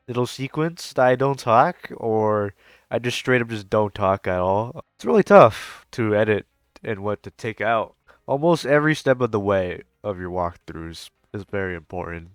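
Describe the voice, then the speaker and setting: A man giving casual gameplay reaction commentary as a content creator, talking in an informal, rambling, halting way. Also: medium pitch, clean tone, dry recording, clean studio sound.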